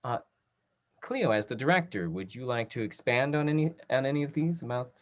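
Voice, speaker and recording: Guy hosting a panel talk, average pitch, kinda halting as he goes. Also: expressive. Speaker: moderator of a panel discussion. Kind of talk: hosting a talk-back discussion. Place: virtual video call.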